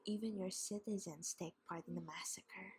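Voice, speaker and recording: A woman playing a vengeful, mocking vampire queen who gloats over a captive in a slow, deliberate, taunting ASMR roleplay. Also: medium pitch, fairly even intonation, darkish tone, a little hiss or hum, close to an everyday voice. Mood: cold, menacing.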